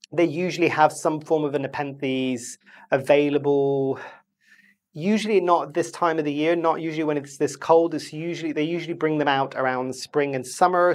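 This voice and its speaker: Stuffy-nosed plant vlogger. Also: medium pitch. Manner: rambling, self-correcting, friendly asides. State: stuffy, blocked nose. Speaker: houseplant video host. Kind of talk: casual chatty plant review.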